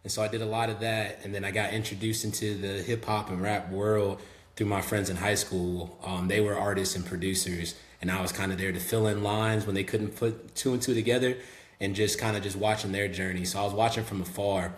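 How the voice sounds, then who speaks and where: Brief: young man, Southern accent, fairly low pitch, relaxed podcast guest, echoey room. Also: brisk. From Memphis, Tennessee. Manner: relaxed, talkative, sprinkled with "um" and "you know". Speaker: guest rapper. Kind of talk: casual podcast interview. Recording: echoey room with faint hum.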